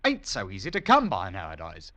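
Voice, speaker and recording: An actor playing a pompous, excitable working-class Englishman with a Cockney accent, telling his story in old-time radio comedy style, blustering in bad grammar and grand words. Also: deep voice, brisk, heavily acted. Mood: indignant, blustering. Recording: vintage 1940s-50s radio recording.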